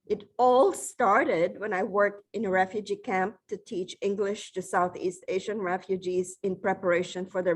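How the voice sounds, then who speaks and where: A middle-aged woman from the Philippines giving a formal, measured acceptance speech with occasional restarts. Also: medium pitch. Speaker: award recipient giving a speech.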